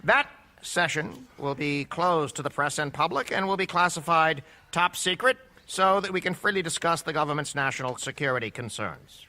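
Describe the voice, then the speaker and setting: Stern bright-voiced judge. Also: male voice, on the high side, moderate pace. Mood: formal, measured. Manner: formal, pointed, probing. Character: stern, skeptical male judge presiding over a hearing. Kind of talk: courtroom radio drama.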